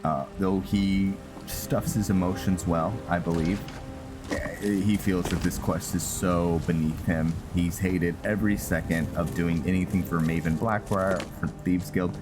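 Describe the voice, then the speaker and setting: Male streamer, low register, casual playthrough commentary over game music. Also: fairly even intonation, a little slow. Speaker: game streamer narrating his roleplay playthrough. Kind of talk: casual gameplay commentary with some in-character lines. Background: video game music.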